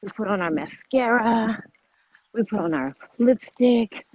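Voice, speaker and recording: A woman's voice: on the high side, animated, a little slow, highs cut off, slight background noise. A woman hosting a casual motivational fitness vlog outdoors, repeating her points as she urges listeners on. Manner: repetitive, exhorting. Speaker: vlog host.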